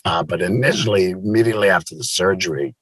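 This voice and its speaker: A man in his sixties from Maryland, USA, telling his personal story as a guest, speaking through a voice prosthesis, halting and repeating words. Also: low-pitched, breathy edge, no room sound. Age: 60s.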